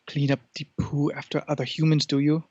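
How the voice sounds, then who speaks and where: A man voicing a haughty, imperious cat who expects to be served, pompous and deliberate, in a comedy podcast. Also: fairly high pitch, flat delivery, mellow, dry recording, dull audio, lightly acted. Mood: smug, imperious. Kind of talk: improvised character comedy interview.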